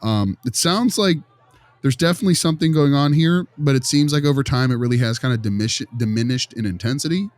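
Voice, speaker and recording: A man playing a friendly, tactful school consultant in a role-play, halting and often rephrasing his questions. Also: medium pitch, a little mellow, lightly acted. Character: friendly, tactful male school behavior consultant probing gently. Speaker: visiting behavior consultant.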